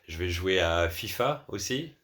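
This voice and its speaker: A man's voice: low-pitched, lively intonation, measured pace, fairly bright tone. A man with an accent from France, a podcast guest telling personal anecdotes in a casual, conversational way. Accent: French from France.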